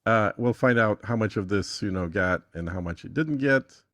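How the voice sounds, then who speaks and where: A man with a fairly low voice explains things casually, step by step, as a guest walking through a tutorial. He thinks aloud in a matter-of-fact way, and the sound is close and free of room echo. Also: normal speed, fairly bright tone. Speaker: podcast guest giving a tutorial.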